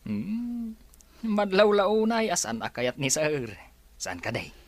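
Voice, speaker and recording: Cheeky, lively Ilocano sidekick played by a man. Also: sits high, slow, no room sound, heavily acted. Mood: gossipy. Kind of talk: Ilocano radio drama acting.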